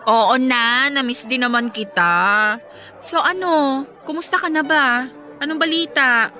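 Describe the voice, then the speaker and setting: A woman's voice: sits high, unhurried, pushed and bright, soft background music. An actress playing a chatty young mother and best friend, breezy and teasing, with a Filipino accent in a radio drama. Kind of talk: conversational radio drama acting.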